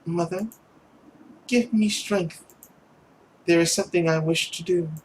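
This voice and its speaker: Man voicing a soft, timid young girl, higher pitched. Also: steady tone, unhurried, slight background noise, close to an everyday voice, low-quality recording. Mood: resolute, hushed. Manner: meek, hesitant, apologetic. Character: timid, guilt-ridden, obedient young girl. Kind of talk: visual novel read-aloud character voice.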